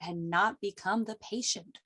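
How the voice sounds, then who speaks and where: A woman's voice: medium pitch, measured pace, fairly bright tone. A middle-aged woman guest on a video call, speaking about her field in an emphatic, motivational way with rhythmic lists. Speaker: guest, sonographer and ergonomics expert. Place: office, indoors.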